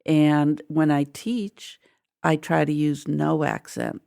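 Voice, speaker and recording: Older woman, gravelly, mixed American accent. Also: low voice, takes it slowly, warm tone, no room sound, clean studio sound. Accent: hybrid of Midwest, New York and Southern with a trace of Spanish. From Midwestern United States. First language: Spanish. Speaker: guest, Spanish teacher. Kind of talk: casual conversation.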